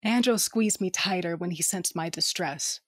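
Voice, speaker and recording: A woman's voice: on the low side, normal speed, no room sound. A woman narrating and acting a space adventure audio drama in the first person, with a dry, wry and determined manner. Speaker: narrator and lead character.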